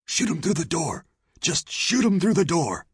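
Raspy, high-pitched frightened saloon owner. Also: male voice, very animated, normal speed, no echo, clean studio sound, heavily acted. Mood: agitated. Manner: folksy Old West drawl, pleading. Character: frightened, cranky older saloon owner, male. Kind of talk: western audiobook character dialogue.

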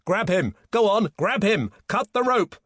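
A man voicing a commanding naval officer in an audiobook, shouting clipped, urgent orders. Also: high voice, expressive, unhurried, slightly rough, no room sound, voice pushed up, heavily acted. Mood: desperate.

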